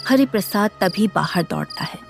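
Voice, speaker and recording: A woman's voice: medium pitch, expressive, normal speed. A woman narrator reading a scripted, dramatic story. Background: loud background music.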